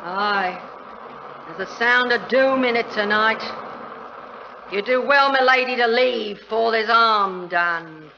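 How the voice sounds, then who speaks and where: An actress playing a grudging, doom-laden old maidservant with a sullen, ominous manner, in 1940s radio style. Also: female voice, on the high side, takes it slowly. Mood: foreboding. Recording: noisy, dull 1940s radio recording.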